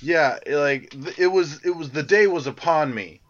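A man's voice: a little high, moderate pace, a bit bright, highs cut off. A man co-hosting a podcast in casual chat, telling crude rambling stories. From Canada. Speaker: podcast co-host.

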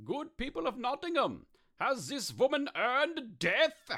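A man's voice: extremely high pitch, wildly swooping pitch, measured pace, gravelly, bright, forceful tone, exaggerated voice. A man playing a pompous, self-righteous witch-hunting clergyman, declaiming in a comedy audio drama. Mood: declamatory, indignant.

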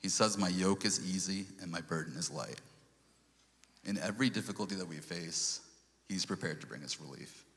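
Low-voiced man giving a church testimony. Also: moderate pace, fairly bright tone, in a large hall. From Sri Lanka. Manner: earnest, with restarts and quoted scripture. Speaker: lay speaker giving a testimony.

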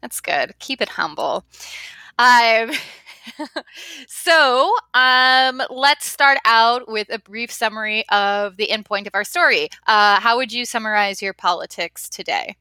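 A woman's voice: fairly high pitch, animated, slow, sharp tone, no echo. A woman hosting a podcast interview, chatty and enthusiastic with playful asides. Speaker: podcast host. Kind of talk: casual interview chat.

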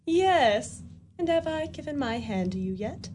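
A woman's voice: sits high, big pitch swings, measured pace, noisy background. A woman playing a spirited, coquettish young village lady in a radio play, with a teasing, arch manner. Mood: challenging, arch.

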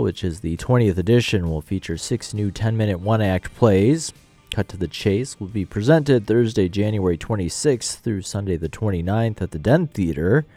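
A man's voice: on the low side, a little mellow. A man with an American accent hosting an arts radio show, moving between scripted introductions and conversational interview questions.

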